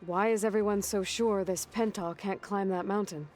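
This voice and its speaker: A woman acting a self-reliant young warrior heroine in a video game. She has a medium-pitched voice and speaks directly, with quiet resolve. Faint ambient noise sits behind her. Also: fairly even intonation, moderate pace, lightly acted. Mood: resolute.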